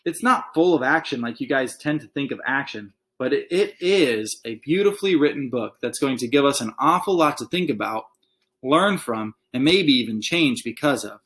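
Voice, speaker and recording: A man voicing a calm male schoolteacher in a children's storybook, measured and wry but firm. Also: medium pitch, lightly acted. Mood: earnest. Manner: measured, funny in a serious way.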